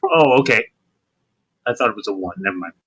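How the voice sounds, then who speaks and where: A man's voice: lowish voice, animated, brisk, fairly bright tone, slightly rough. A man chatting casually as a player in a tabletop role-playing game.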